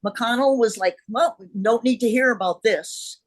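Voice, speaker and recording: A woman's voice: fairly high pitch, normal speed. A woman talking in a group discussion, rambling with many fillers in a hopeful tone. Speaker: discussion participant.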